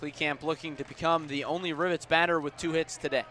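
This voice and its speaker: A man calling baseball live on the radio, measured between pitches and punchier on plays, as an announcer does. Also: steady tone, pushed and bright. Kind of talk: live radio sports commentary. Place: outdoor baseball ballpark. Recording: outdoor ballpark ambient noise.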